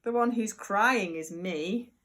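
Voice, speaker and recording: A woman reading a picture book aloud to young children like a primary teacher, expressive and deliberate, with chatty asides. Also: mid-range voice. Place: at home.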